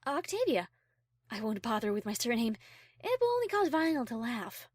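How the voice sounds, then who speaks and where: A haughty, high-society mare played by a woman in a storybook drama. Her voice sits a little high and swings widely in pitch. She is prim, formal and competitive, recorded dry and close. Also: sing-song, normal speed, dry recording. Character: haughty high-class female pony cellist, prim and competitive. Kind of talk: audiobook character acting.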